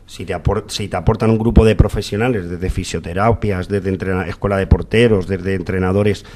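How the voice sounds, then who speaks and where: Man, accent from Spain, low pitch, explanatory, question tags, spontaneous panel debate. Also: fairly even intonation, brisk, mellow, slight background noise. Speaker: youth football school representative, debate guest.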